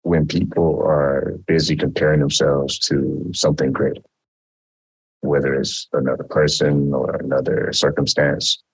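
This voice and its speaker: A man hosting an interview in a relaxed, casual way, using everyday metaphors. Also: extremely low pitch, slightly rough, poor audio.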